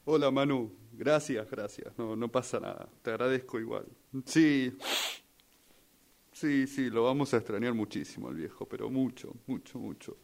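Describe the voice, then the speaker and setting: Un actor como hijo en duelo, argentino, dubitativo. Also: male voice, medium pitch, moderate pace, gravelly, a little mellow, natural voice. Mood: grieving, grateful. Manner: hesitant, repeats phrases. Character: grieving son troubled by suspicions over his father's death. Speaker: radio drama workshop participant. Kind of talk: rehearsed radio drama acting.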